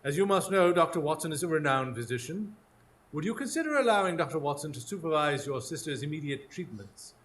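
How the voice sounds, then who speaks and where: An actor playing a keen, self-assured Victorian detective in a live radio drama, speaking formally and precisely. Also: male voice, a little high, lively intonation, brisk, lightly acted. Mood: confident, courteous. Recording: live stage recording, faint ambient noise.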